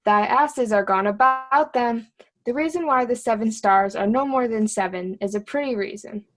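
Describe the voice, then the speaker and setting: Court fool, boyish and cheeky, lightly high voice, teasing riddler in classical theatre female actor. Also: normal speed, faint music behind, close to an everyday voice. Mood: wry. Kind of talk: Shakespearean reader's theatre acting.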